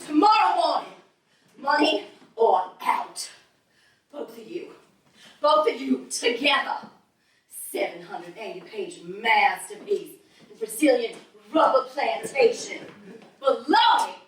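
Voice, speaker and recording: A woman's voice: a little high, very animated, slow pace, pauses often. A woman playing a hard-nosed, scornful landlady in a stage drama, speaking in a curt, mocking way. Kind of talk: theatrical stage acting. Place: small theater studio, live performance. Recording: echoey stage, distant mic, noisy.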